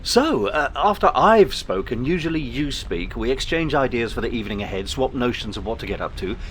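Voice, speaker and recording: A man's voice: mid-range voice, sing-song, brisk, a bit bright, slight background noise, rough recording. A man playing an awkward, self-conscious suitor rambling chattily on a first date. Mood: nervous, flirty.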